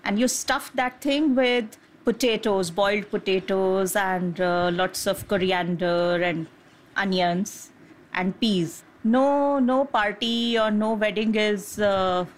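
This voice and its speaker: A woman's voice: mid-range voice, slow pace, low-quality recording. Earnest woman doctor answering questions. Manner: earnest, plain-spoken, with hesitations. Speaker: doctor and mother, interviewee. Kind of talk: conversational interview answers.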